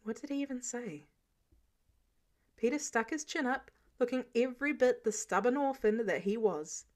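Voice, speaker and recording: Woman reading a story out loud, expressive, voice a bit on the high side. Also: moderate pace. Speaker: amateur audiobook narrator. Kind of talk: expressive fiction narration.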